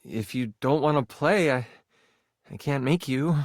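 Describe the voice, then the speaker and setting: A man playing a friendly, eager game master character in a video game, with expressive, theatrical storytelling delivery. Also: medium pitch, unhurried, dry recording, clean studio sound. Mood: disappointed. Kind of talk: theatrical tabletop game narration.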